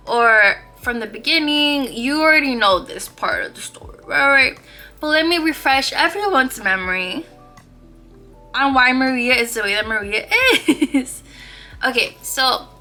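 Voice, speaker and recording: A woman's voice: a little high, bright, forceful tone. A woman in her twenties hosting her own podcast, chatting casually and playfully with slang, asides and laughs. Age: 20s. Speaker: podcast host. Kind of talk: casual solo podcast chat.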